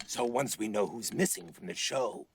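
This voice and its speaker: An actor voicing a streetwise, sardonic ventriloquist dummy with a gravelly, husky voice in a TV drama. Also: male voice, mid-range voice, big pitch swings, moderate pace, a little hiss or hum. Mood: matter-of-fact. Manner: tough, sardonic, clipped. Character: wisecracking, streetwise male ventriloquist dummy, cursed demon hunter. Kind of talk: TV drama dialogue.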